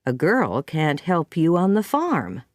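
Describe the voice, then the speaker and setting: A woman's voice: sits low, huge pitch swings, slow, dry recording, studio quality, exaggerated voice. A woman doing a stern, practical farm woman. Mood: firm.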